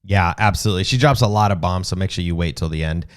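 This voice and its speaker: Man, low voice, podcast host, upbeat, chatty. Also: fast talker, clean tone. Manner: upbeat, chatty, reads sponsor spots. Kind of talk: casual podcast interview.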